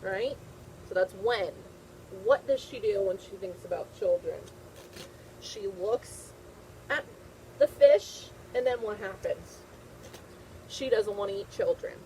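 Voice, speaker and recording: A woman's voice: mid-range voice, slow pace, pauses often, dark tone, slight background noise, rough recording. A woman teacher reading a picture book aloud to young children, repeating phrases and checking in with simple questions.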